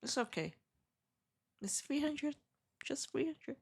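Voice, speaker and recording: A woman from Hong Kong with a heavy Hong Kong accent, chatting with viewers on a live trading stream in halting, self-correcting English. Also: high-pitched, animated, darkish tone. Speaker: day trader streaming live.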